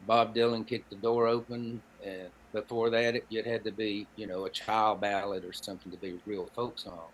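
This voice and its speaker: An older man in his seventies speaks in a low voice with a Southern Appalachian drawl. He spins personal anecdotes in a folksy way with dry humor, over noticeable background noise. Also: flat delivery, normal speed, few pauses. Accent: Southern Appalachian American. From Appalachian Tennessee, United States. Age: 70s. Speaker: podcast guest, storyteller and songwriter. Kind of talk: anecdotal conversational storytelling.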